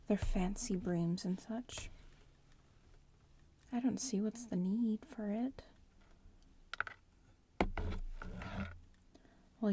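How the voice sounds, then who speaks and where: A woman playing a smug, bratty young witch in training in an ASMR roleplay, softly whispering and murmuring close to the microphone in an unhurried way. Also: a little hiss or hum, lightly acted. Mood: disdainful. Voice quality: soft, breathy whispering mixed with low murmur.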